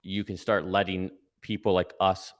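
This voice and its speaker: A man's voice: low-pitched, flat delivery, normal speed. A man giving casual conversational analysis as a guest commentator, explaining step by step and checking in with "right?". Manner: explanatory, tags points with "right?".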